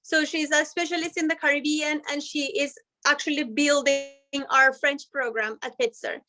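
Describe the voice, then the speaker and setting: Bright high-voiced woman on a video call. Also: steady tone, moderate pace. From Colombia. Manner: upbeat and explanatory, speaks in long flowing sentences. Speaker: language professor. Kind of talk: presenting in an online panel.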